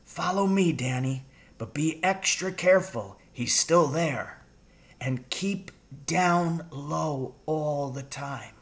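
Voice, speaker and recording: A man voicing a daring, commanding father out poaching in a storybook, with breathy, whispery delivery. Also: fairly high pitch, slow pace. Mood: hushed, urgent.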